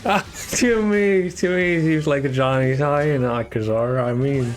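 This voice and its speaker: A man's voice: medium pitch, moderate pace, soft background music. A man from Germany, the tournament organizer, giving casual, rambling live commentary on his own and chatting with viewers. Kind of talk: solo live esports commentary.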